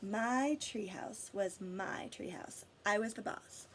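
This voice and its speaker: A woman reading a picture book aloud expressively to children, pausing to guide them with questions. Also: mid-range voice, background noise. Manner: pauses to question and guide young listeners. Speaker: read-aloud storyteller for children.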